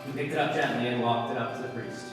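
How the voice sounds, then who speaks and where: A man's voice: mid-range voice, steady tone, fast, close to an everyday voice. A man playing a terse police detective, narrating in clipped, understated 1950s radio drama style. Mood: terse, deadpan. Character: terse, matter-of-fact male police detective narrating a case. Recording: echoey hall from far off, music behind. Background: music.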